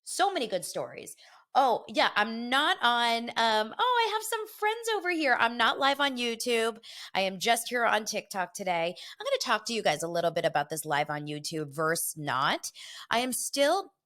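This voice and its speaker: A woman's voice: fairly high pitch, lively intonation, a bit bright. A middle-aged woman hosting her podcast in a casual, gossipy chat, a little congested from a head cold. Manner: chatty and gossipy, quick asides. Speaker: podcast host.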